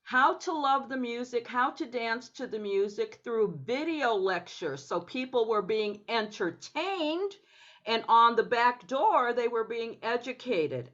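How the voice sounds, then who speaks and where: A woman dance educator telling her story as a guest on a video call interview, speaking in a measured, deliberate way. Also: fairly high pitch, fairly bright tone, muffled recording.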